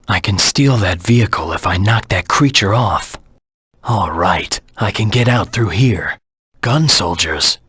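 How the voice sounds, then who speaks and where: A cold, determined hedgehog anti-hero from a video game. The voice is low and heavily rasped, recorded dry and close. He talks tersely and seriously, as if weighing each move to himself. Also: a bit bright, dry recording, exaggerated voice. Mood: calculating. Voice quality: husky, gravelly. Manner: terse, serious, deliberate self-talk. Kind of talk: video game character voice lines.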